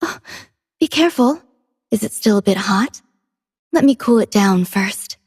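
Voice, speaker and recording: A woman voicing a gentle, devoted female android musician in a video game, soft-spoken, tender and poetic in a measured delivery. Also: medium pitch, animated, slightly rough, no echo, clean studio sound. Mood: gently concerned. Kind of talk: scripted game character voice lines.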